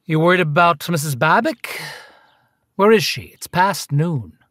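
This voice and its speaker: A self-righteous male detective in a radio drama. His voice sits on the high side and is recorded dry. He asks clipped, insinuating questions and keeps needling. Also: lively intonation, a little slow, fairly bright tone, no room sound. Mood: needling, accusing. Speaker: actor in a radio play.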